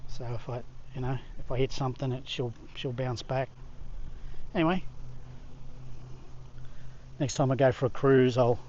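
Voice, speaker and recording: A man's voice: medium pitch, steady tone, normal speed, pauses often, darkish tone, slight background noise. Australian man vlogging, slightly dull audio. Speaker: vlog host. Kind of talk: casual chat to camera.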